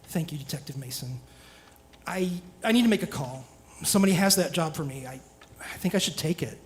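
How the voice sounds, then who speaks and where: A woman's voice: low voice, animated, brisk. A woman playing an anxious, aggrieved young student in a live radio play, hesitant, stammering and emotional. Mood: shaken. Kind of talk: live radio theatre acting.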